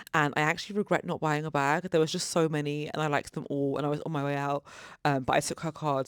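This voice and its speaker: A man's voice: a little high, smooth, no room sound, clean studio sound. A man hosting a podcast in a casual, effusive and enthusiastic way. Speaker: podcast host. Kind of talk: casual presenting and interviewing.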